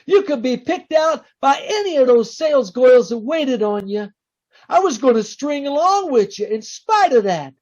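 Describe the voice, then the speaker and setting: An actor playing a vengeful, taunting crook in slangy 1940s radio style. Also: male voice, very high-pitched, big pitch swings, moderate pace, darkish tone, heavily acted. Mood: bitter, threatening. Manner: slangy gangster talk, taunting. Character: menacing, double-crossed male crook, coldly vengeful. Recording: dull, lo-fi video-call audio.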